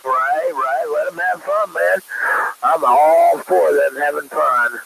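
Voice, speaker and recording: Man, creaky gravelly voice, medium pitch, joking coarse CB chatter, static behind him. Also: slow, a bit bright, rough recording. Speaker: CB radio operator. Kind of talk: casual CB radio banter. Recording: static-laden CB radio transmission.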